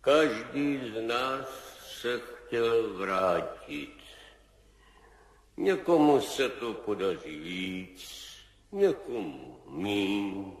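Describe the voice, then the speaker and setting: An actor playing the gentle ghost of an old grandfather in a 1970s radio play, speaking calmly and tenderly. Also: on the low side. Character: dead grandfather spirit, old man, gentle and wise. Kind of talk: 1970s radio drama acting. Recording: echoey room with long reverb.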